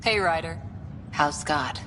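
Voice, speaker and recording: A woman's voice: low-pitched, lively intonation, slow. A woman playing a thoughtful, supportive space expedition officer in a video game cutscene. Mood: friendly, musing. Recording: music behind the voice.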